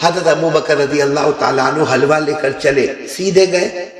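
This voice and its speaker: A male Islamic preacher tells a story as a sermon, animated and given to sudden high rises. His voice sits fairly high. It rings through a large, roomy hall with a long reverb. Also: normal speed.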